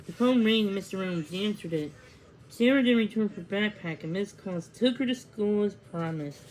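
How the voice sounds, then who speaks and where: A woman reads a children's storybook aloud, halting now and then and restarting words. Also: medium pitch, expressive, darkish tone, low-quality recording. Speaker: storybook reader. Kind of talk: read-along storytelling of a children's book.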